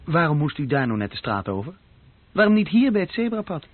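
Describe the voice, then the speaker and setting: A man's voice: a little high, very animated, brisk. An actor playing an earnest, slightly awkward young office worker courting a girl in a radio drama. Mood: eager, curious. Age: young adult. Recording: narrow-band old radio play recording, very dry.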